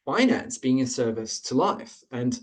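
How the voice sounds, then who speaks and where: A man's voice: mid-range voice, big pitch swings, a little slow. Earnest man presenting a webinar. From Australia. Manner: earnest, explanatory, lists points with rising emphasis.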